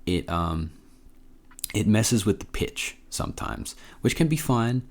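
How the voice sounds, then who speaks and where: A man hosting a casual software tutorial, informal and a bit hesitant as he thinks aloud. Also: fairly low pitch, big pitch swings, slow pace. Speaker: tutorial host.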